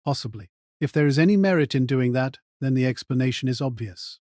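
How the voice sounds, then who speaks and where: A man's voice: mid-range voice, fairly quick, a little mellow, no room sound, clean studio sound, lightly acted. A man playing a cold, calculating mage mercenary in an audio drama, giving terse, controlled orders. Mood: cool, analytical. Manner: terse, clipped, controlled commands.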